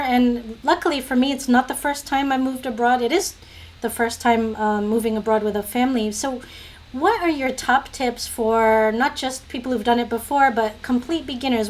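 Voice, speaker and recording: A woman interviewer putting questions in a conversational way. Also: on the high side.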